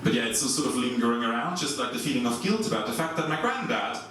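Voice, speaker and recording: A young Swiss man with a German accent, doing a stand-up set in a comedy club with a dry, deadpan delivery. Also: fast, distant mic. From Switzerland. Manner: dry, deadpan delivery with lively swings in pitch. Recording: echoey club recorded from afar, audience noise.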